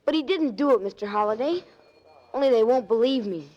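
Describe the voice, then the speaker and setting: A woman's voice: fairly high pitch, expressive, fairly quick. A woman playing a plucky, trusting young boy in a 1940s radio drama, talking in earnest, streetwise slang. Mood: earnest, insistent. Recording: muffled vintage 1940s radio recording.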